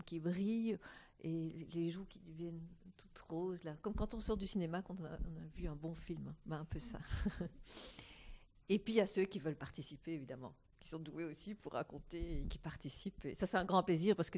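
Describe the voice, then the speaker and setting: A woman, an artist who works in hospitals, speaking in a lively, expressive way at a panel. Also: fairly low pitch. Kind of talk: panel talk.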